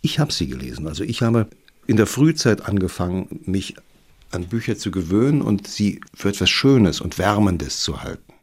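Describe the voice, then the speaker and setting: Low-pitched older man, reminiscing. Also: big pitch swings, normal speed, pauses often, darkish tone, breathy edge. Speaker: writer recalling his youth. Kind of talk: reflective spoken recollection.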